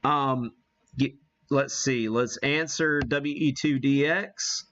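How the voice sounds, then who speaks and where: An American man hosts a casual live demo with an unhurried, folksy delivery. He thinks aloud as he works, in an average-pitched voice. The sound is slightly muffled and lo-fi. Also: fairly even intonation, a bit bright. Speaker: host demonstrating amateur radio live. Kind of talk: casual live-stream chat while operating.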